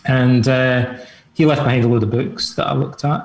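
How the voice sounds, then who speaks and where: A man's voice: mid-range voice, fairly even intonation, moderate pace, creaky, a little hiss or hum. A middle-aged man with a Scottish accent, an author and psychotherapist answering interview questions in an easygoing, rambling way over a video call. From Scotland. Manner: easygoing, rambling with many fillers. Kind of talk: relaxed conversational interview answers.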